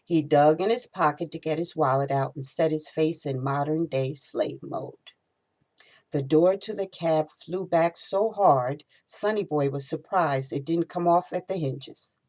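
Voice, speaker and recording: A woman reading her own novel aloud in expressive storytelling narration. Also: deep voice, a little slow.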